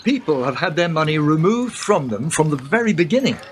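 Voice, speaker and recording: A man playing a knowing guide who explains history to a young woman in a radio play, in an explanatory, unhurried way. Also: a little high, big pitch swings, faint music behind. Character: wise older male guide through history, knowing. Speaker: narrator-guide.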